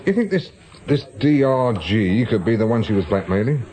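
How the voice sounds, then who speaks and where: A man acting a shrewd, stubborn amateur sleuth in a British radio drama, questioning bluntly in a husky voice. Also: on the low side, sing-song, moderate pace, warm tone, a little hiss or hum. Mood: probing, blunt. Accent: British English.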